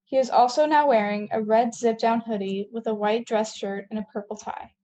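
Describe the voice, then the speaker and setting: A woman's voice: on the high side, steady tone, moderate pace, warm tone, lo-fi sound. A woman narrating scripted stage directions for a table read.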